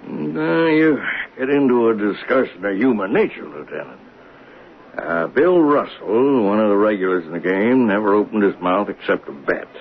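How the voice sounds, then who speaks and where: An actor playing a talkative train conductor who recounts a murder in a folksy, musing way for a 1970s radio drama. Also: male voice, fairly low pitch, lively intonation, normal speed. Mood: hesitant, candid. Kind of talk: 1970s radio drama acting, first-person narration. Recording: noisy tinny narrow-band old radio recording.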